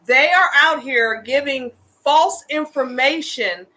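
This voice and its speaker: A woman's voice: high-pitched, slow pace, pushed and bright. A woman in her fifties hosting a casual live show, speaking with earnest, impassioned conviction as an advocate. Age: 50s. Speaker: host, homeless women's advocate. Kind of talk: casual livestream talk.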